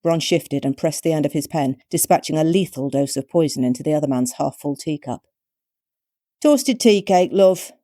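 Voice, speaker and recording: A British woman narrating her own short story with measured, wryly expressive storytelling. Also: sits low, dry recording, studio quality. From Britain.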